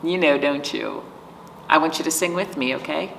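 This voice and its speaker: A woman hosting an interactive storytime, talking directly and coaxingly to young children in an animated way. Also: deep voice, normal speed, creaky, far from the mic. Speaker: library storytime host. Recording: echoey reverberant room, faint background noise.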